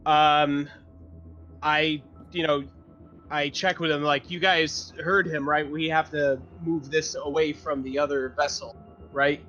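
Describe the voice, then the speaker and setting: A man's voice: a little high, little pitch movement, slow, sharp tone. A man talking casually in character as a player in a tabletop roleplaying game. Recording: dull lo-fi sound, music underneath.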